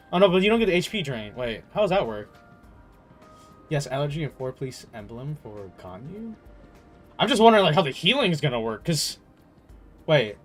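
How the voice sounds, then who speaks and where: A man streaming a game, chatting casually and rambling through his thoughts in slangy, stop-start talk with some swearing. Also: a little high. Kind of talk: casual livestream chat, rambling gaming commentary. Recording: music playing behind the voice.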